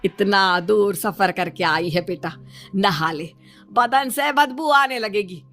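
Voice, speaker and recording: A woman's voice: medium pitch, slow pace, a bit bright, soft background music, voice pushed down. A woman voicing a nagging, exasperated middle-aged mother in a dramatized moral story. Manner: scolding, nagging.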